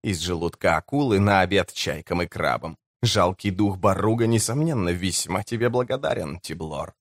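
A haughty slave trader villain, performed by a male narrator in a fantasy audiobook. He speaks low, with oily, sneering mockery. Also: moderate pace, no room sound, studio quality. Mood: mocking. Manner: mocking, haughty.

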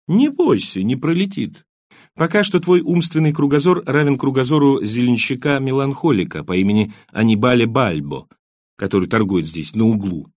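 Man reading as wry worldly émigré, animated pitch. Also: moderate pace, dry recording, clean studio sound, lightly acted. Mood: teasing.

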